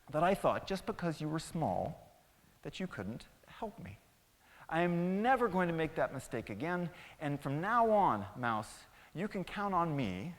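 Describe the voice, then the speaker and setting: A man's voice: on the high side, exaggerated voice. A man voicing a proud, grumpy, big lion king of the jungle in a children's story, pompous and blustering. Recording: echoey hall with long reverb.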